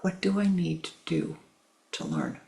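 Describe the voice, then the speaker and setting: A spiritual teacher giving a gentle, tender teaching, repeating phrases and calling listeners "my love", at times dropping to a near whisper. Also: medium pitch, huge pitch swings, unhurried, gravelly.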